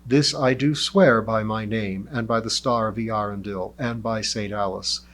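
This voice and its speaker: Man doing an ancient elf, formal, measured, condescending, soft and slightly low. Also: fairly even intonation. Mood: apologetic.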